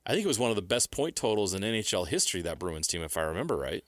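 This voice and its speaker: Low-pitched American man talking sports. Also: big pitch swings, rapid-fire, no room sound, studio quality. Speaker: sports radio host. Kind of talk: casual sports talk. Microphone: close studio broadcast microphone.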